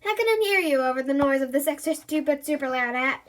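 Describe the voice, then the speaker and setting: A woman's voice: high-pitched, lively intonation, brisk. A woman voicing an eager, apologetic silly boy.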